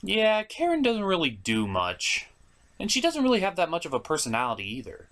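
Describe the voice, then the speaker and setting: Animated, theatrical man with swooping pitch. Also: fairly quick. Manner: theatrical, exaggerated, mock exasperation. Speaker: review show host. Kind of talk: animated scripted comedic review narration.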